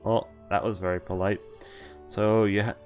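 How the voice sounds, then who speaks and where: A man streaming a visual novel, reading its lines aloud and breaking off to react and explain, with animated exclamations and groans. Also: fairly low pitch, normal speed, faint music behind.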